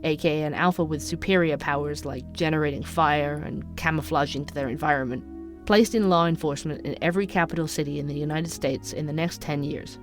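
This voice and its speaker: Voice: medium pitch, brisk, music in the background, natural voice. An actor playing a persistent investigative podcast reporter in an audio drama, narrating in a dry, wry, conversational way. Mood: wry, matter-of-fact.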